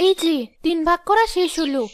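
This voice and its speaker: A woman's voice: extremely high pitch, brisk, noisy background. A woman voicing an anxious, hesitant village wife and mother in a cartoon drama, speaking in a questioning way. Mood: worried. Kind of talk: cartoon moral drama dialogue.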